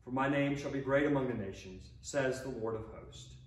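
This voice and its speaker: A man leads a church service, reciting prayers in a measured, solemn manner. His voice sits at an ordinary male pitch and is heard at some distance from the microphone in a very roomy space. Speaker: officiant leading a prayer service. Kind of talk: liturgical reading of prayers and psalms. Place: inside a church sanctuary by the altar. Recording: roomy, distant mic with faint noise.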